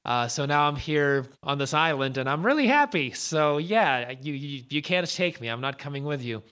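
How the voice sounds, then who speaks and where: A man's voice: on the high side, very animated, moderate pace, bright, forceful tone. A man voicing a defiant young woman in a folk tale, blunt and firm.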